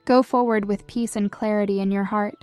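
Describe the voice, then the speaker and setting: A woman leads a scripted guided meditation with a smooth, clear voice at a medium pitch. Her delivery is measured and soothing, giving gentle instructions. The sound is dry and close, with music playing behind her. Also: fairly even intonation, no echo. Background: background music.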